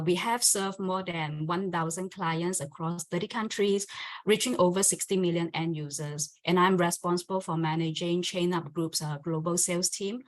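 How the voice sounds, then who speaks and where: A woman giving a formal, prepared self-introduction as a general manager on an online panel. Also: fairly low pitch.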